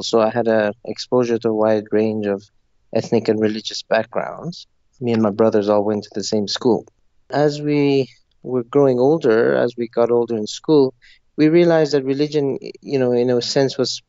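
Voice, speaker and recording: A man's voice: mid-range voice, warm tone, no room sound, dull audio. A middle-aged man from Zambia answering interview questions in a thoughtful, earnest way. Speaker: interview guest, novelist.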